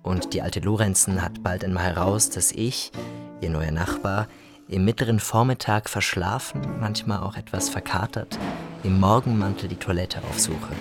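A man's voice: low-pitched, normal speed, over music. A man narrating a scripted first-person literary story as a storyteller.

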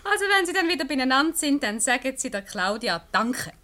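A woman's voice: high voice, big pitch swings, a little fast, pushed and bright, full character voice. An actress with a Swiss German accent playing a clever, teasing woman in a radio comedy. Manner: teasing, self-possessed. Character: clever, teasing, confident young woman, wife's scheming friend. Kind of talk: comedic radio play acting.

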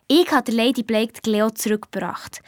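A woman's voice: a little high, moderate pace, no room sound, studio quality, natural voice. A woman playing a sensible, bossy young girl with a Swiss German accent, telling her story in a chiding, colloquial way in a children's radio play. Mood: chatty, pleased. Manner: chiding, matter-of-fact, colloquial.

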